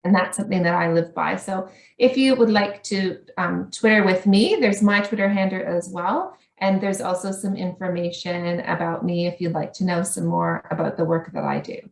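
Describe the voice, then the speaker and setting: A woman's voice: fairly low pitch, moderate pace, gravelly, few pauses, roomy sound. A woman with a Canadian accent giving an earnest conference presentation as an academic, with frequent ums.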